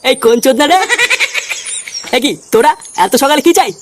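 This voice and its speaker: A performer with a pitched-up voice playing an abusive, suspicious village uncle in a comedy cartoon. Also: moderate pace, a little hiss or hum. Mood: irritated scolding. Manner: scolding, swearing, dismissive. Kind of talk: Bengali comedy cartoon dubbing.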